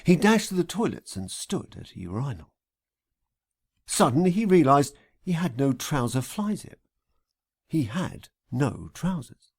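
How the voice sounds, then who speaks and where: A man reading a satirical audiobook story in a theatrical, deliberate manner. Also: fairly high pitch, sing-song, a little mellow, breathy edge. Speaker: author reading an audiobook extract. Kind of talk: scripted satirical audiobook narration.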